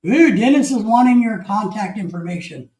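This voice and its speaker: A man's voice: high voice, animated, normal speed, darkish tone. A man hosting a livestream who jokes and teases while he reads chat comments aloud. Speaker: livestream host. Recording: echoey room.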